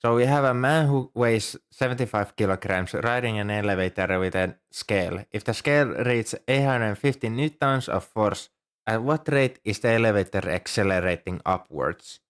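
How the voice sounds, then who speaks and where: A man's voice: fairly low pitch, normal speed, smooth, lightly acted. A man performing an ASMR roleplay as a shy, earnest young student librarian, speaking in a hesitant, helpful and apologetic way. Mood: patient, helpful. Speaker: amateur audio roleplay performer. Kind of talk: ASMR roleplay, scripted one-sided dialogue.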